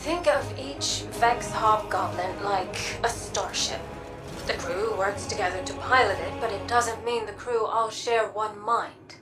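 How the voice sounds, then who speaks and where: An actress playing a weary woman who has traveled time, speaking in a soft, reflective way. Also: mid-range voice, measured pace, over loud music, lightly acted, rough recording. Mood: somber. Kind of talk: video game dialogue.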